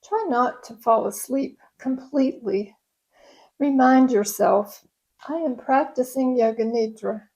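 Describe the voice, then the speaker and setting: A woman yoga nidra instructor leading a guided meditation in a gentle, soothing, unhurried way. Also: high-pitched, slightly rough, low-quality recording.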